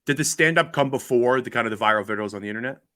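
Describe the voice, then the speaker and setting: A man's voice: medium pitch, racing, pushed and bright, dry recording, clean studio sound. A Canadian man hosting a podcast, doing a casual conversational interview. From Ontario, Canada.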